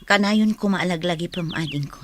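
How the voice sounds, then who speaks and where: A woman's voice: on the low side, brisk, a little hiss or hum, close to an everyday voice. A woman playing a concerned older sister giving caution in an Ilocano radio drama.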